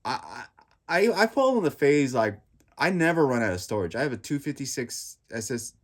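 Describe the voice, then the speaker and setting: A man's voice: mid-range voice, very animated, normal speed. A man with a congested, stuffy nose giving a casual, rambling reaction review of a gadget, full of fillers and restarts. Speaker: tech reviewer.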